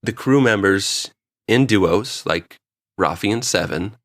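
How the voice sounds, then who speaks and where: Man, podcast co-host, lowish voice, casual opinionated review chat, jokey, dry sound. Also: takes it slowly, a bit bright, no room sound.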